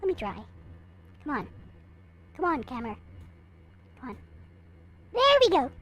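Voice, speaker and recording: High-pitched woman livestreamer, slow and lilting. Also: huge pitch swings, a bit bright, slight background noise. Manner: chatting casually to viewers, replying to comments. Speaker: livestream host. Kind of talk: casual livestream chat showing collectible figures.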